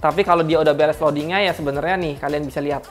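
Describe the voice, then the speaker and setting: A woman's voice: deep voice, steady tone, rapid-fire. A woman giving a chatty, slangy product review, talking straight to her viewers. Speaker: car audio reviewer.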